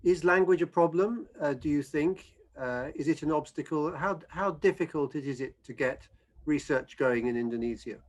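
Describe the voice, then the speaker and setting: Man moderating a panel, slightly high voice. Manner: measured, asks questions. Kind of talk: online panel discussion.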